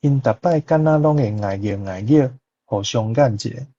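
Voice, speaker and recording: A man with a Taiwanese accent gives example sentences as a language teacher. His voice is husky and dark, on a dry, muffled lo-fi recording. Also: medium pitch, normal speed, dry recording. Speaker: Taiwanese language teacher giving a model translation. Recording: dull lo-fi insert clip.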